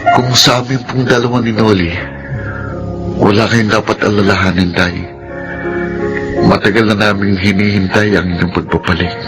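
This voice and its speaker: A man's voice: fairly low pitch, steady tone, normal speed, pauses often, natural voice. A man playing a kind, sincere, encouraging older-brother figure in a radio drama. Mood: reassuring. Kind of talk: Filipino radio drama acting. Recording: faint music behind the voice.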